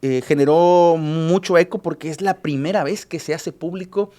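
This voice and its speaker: A man speaks with a Mexican Spanish accent, his voice sitting a little high for a man. He talks as a journalist guest on a radio news show, pausing often with filler sounds and restarting his sentences. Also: dark tone. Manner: halting, restarts with filler sounds, recapping cases.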